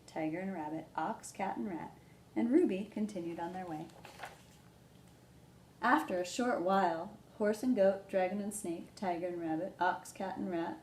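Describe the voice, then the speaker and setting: A woman hosting a children's storytime, explaining things simply and gently and reading a picture book aloud. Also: mid-range voice. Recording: roomy echo, background noise.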